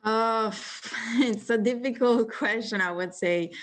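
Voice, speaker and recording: A woman's voice: on the high side, slow pace. A woman in her twenties from northeast Italy with an Italian accent, answering questions as a guest on a video call, friendly, with many fillers. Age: 20s. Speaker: guest speaker.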